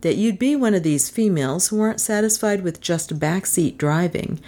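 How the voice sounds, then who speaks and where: A woman's voice: fairly low pitch, lively intonation, normal speed, warm tone. A woman voicing a stubborn, wry man, grumbling but sentimental, in dry, argumentative audiobook dialogue.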